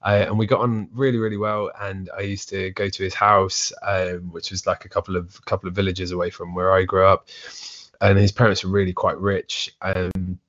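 A man's voice: low voice, little pitch movement, moderate pace, a bit bright. A man talking about his past as a podcast guest, chatty and meandering. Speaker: guest drummer. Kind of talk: casual storytelling. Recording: dull lo-fi audio.

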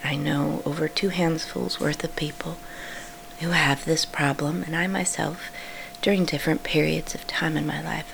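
A woman with a breathy, often whispery voice gently guides a sleep meditation, hushed and unhurried, with reassuring instructions. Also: sits low. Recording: clearly audible background hum.

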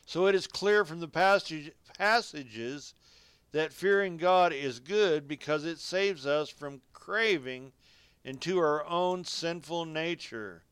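A man delivers a radio sermon at an unhurried pace in a dry, close sound. His voice is very clean and resonant. He reads scripture and exhorts listeners directly, in homespun, colloquial language. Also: a little high, a bit bright, smooth, no echo. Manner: folksy, colloquial phrasing. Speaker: radio preacher.

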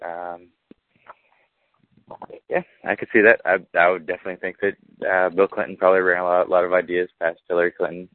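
A man hosting a casual political chat, measured and summarizing, with many fillers. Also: low voice, flat delivery. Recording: tinny phone-like line, dry.

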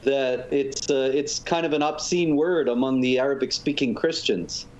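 A man, a guest author, explaining points in a measured way during a talk show interview. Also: medium pitch. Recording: dull lo-fi audio with faint noise.

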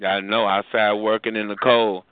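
A man's voice: lowish voice, little pitch movement, normal speed, smooth, no echo. A man hosting a casual conversation who keeps it moving with follow-up questions and short replies. The sound is dry and narrow, like a phone line. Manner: interviewer who asks follow-up questions and backchannels. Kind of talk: casual chat.

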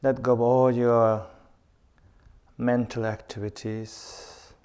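A man guiding a meditation in short phrases with long pauses between them. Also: medium pitch, flat delivery, a little mellow, smooth. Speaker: meditation guide. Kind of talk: guided meditation, spoken instruction. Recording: long reverberant hall sound.

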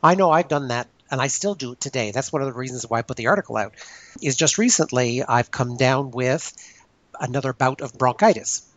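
A man hosting a casual discussion, explaining his ideas in a thoughtful, measured way. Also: mid-range voice, dry recording. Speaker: podcast host.

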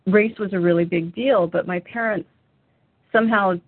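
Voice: mid-range voice, steady tone, normal speed, no room sound. A podcast host asking questions in a casual interview and sharing personal stories. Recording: phone-like remote call.

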